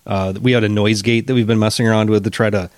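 Man, fast talker, lowish voice, podcast co-host, casual chat. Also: steady tone, dry recording. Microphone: studio podcast mic.

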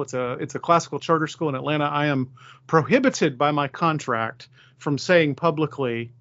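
A man's voice: a little high, a bit bright, dull audio. A man chatting casually as the host of a podcast. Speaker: podcast host and high school teacher. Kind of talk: casual conversation.

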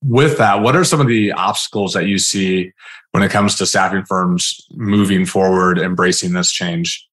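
A man's voice: low voice, fairly even intonation, normal speed, a bit bright. A man hosting a conversational interview, agreeable and thinking aloud as he talks. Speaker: podcast host.

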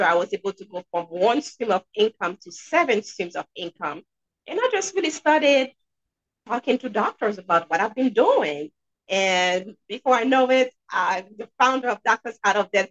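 A woman's voice: medium pitch, a bit bright. A woman in her thirties with a Haitian accent, answering conversationally as a podcast guest, repeating words for emphasis. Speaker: podcast guest, dentist and debt coach. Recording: slightly dull, lo-fi sound.

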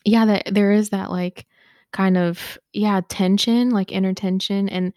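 A young woman from Ethiopia co-hosting a casual podcast, speaking haltingly with lots of fillers while she searches for words. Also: medium pitch, steady tone, a little mellow, no echo, studio quality. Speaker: podcast co-host. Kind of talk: casual conversation.

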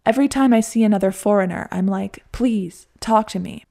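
A man's voice: sits high, gravelly, dark tone. A woman voicing an eager, talkative young man traveler in a personal story, friendly and chatty. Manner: chatty, friendly, quick to laugh.

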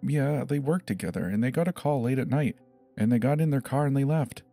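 A man's voice: medium pitch, expressive, fairly quick, dark tone, no echo, faint music behind. A man voicing a scared seven-year-old boy in a dramatized horror story, hesitant and pleading, stammering over his words. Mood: frightened, pleading.

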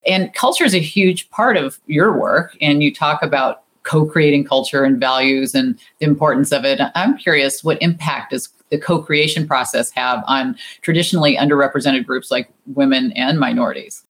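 A woman's voice: a bass voice, normal speed, hardly any pauses, husky. A woman hosting an interview podcast, talking in a friendly, conversational way with some restarts. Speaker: podcast host.

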